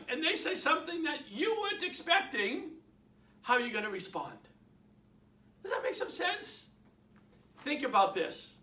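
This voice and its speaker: A man's voice: very high voice, sharp tone, recorded from a distance. A man with a Boston accent giving an emphatic motivational sales talk to realtors, repeating his points and asking the audience questions. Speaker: real estate sales trainer. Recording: roomy, from off the mic.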